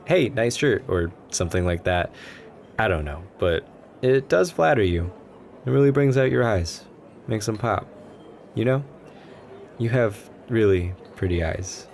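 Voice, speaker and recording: A man playing a shy, anxious and gentle young stranger who rambles self-consciously in a soft ASMR roleplay. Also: on the low side, natural voice. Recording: background ambience noise. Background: coffee shop ambience.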